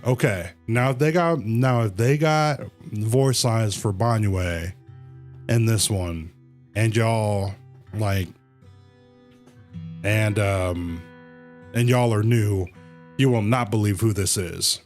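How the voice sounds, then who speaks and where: Slow-talking, low-voiced man streaming a game. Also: a little mellow, soft background music. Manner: addresses viewers as y'all, rambling. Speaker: livestream host. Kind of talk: casual game stream commentary.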